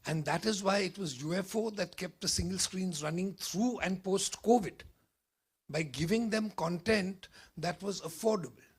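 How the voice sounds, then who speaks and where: A hoarse middle-aged man with an Indian accent, a film critic answering confidently at a press event. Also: high voice, moderate pace. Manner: emphatic, confident predictions. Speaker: film critic and distribution executive.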